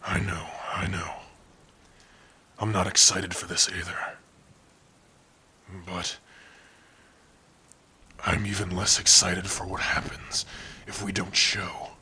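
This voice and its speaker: A man playing a protective orc warrior, gruff but tender, in a hushed, halting and intimate ASMR roleplay. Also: flat delivery, heavily acted. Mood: reluctant, worried. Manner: slow, hushed, halting, earnest. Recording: faint rain and rumble noise. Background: rain.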